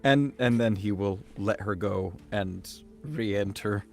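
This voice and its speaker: A man improvises as a player in a tabletop game. His voice is a bit low and he talks very slowly, describing his character in the third person with frequent halts and repeated words. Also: gravelly, a little mellow, faint music behind. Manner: halting, repeating words as he goes.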